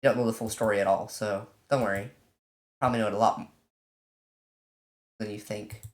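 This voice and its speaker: A let's player casually commenting on a visual novel and reading its text aloud, in an informal, halting way. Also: mid-range voice, fairly even intonation. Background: game music.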